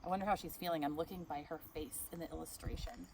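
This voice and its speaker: A woman reading a picture book aloud, expressive, stopping now and then to ask the listener questions. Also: low-pitched, quick pace, noisy background. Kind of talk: read-aloud storytime.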